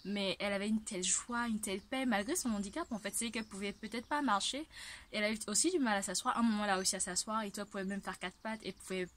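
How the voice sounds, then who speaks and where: A woman's voice: medium pitch, fast, a bit bright. A woman in her twenties from the Ivory Coast giving a casual, earnest personal testimony as a vlogger. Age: 20s.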